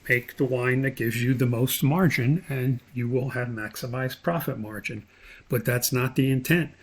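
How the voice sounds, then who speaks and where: A man with a creaky, gravelly edge to his voice teaches in an unscripted screen tutorial. He thinks aloud as he goes, explaining each step in an instructor's manner. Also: mid-range voice, normal speed.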